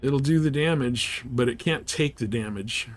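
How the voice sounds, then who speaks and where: A man's voice: medium pitch, normal speed, faint music behind. A man chatting casually and informally as he livestreams a video game, laid-back in manner. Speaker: live streamer. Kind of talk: casual gaming livestream chat.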